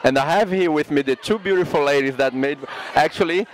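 A man's voice: on the high side, fairly quick, a little hiss or hum, poor audio. A man in his thirties interviewing guests at a cinema event with a handheld mic, asking eager, rambling questions. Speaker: red carpet interviewer. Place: indoor cinema event, in front of a backdrop.

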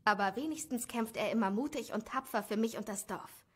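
A woman acting a dreamy village mother in an audio drama, musing expressively in a slightly husky voice. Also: a little high, fairly quick, fairly bright tone. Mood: resigned, fond. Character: dreamy, proud village mother, longing for a finer life.

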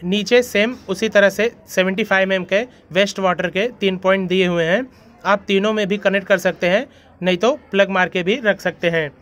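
High-voiced man hosting a plumbing channel. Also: fairly even intonation, normal speed, a bit bright. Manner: friendly, repeatedly addresses viewers as friends. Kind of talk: casual explainer voice-over. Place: voice-over over animation.

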